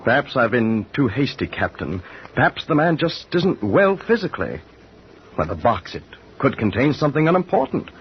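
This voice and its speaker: An actor in 1940s radio drama playing a curious, polite gentleman who narrates his voyage in a measured, formal way. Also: medium pitch, big pitch swings. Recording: muffled old radio recording with hiss.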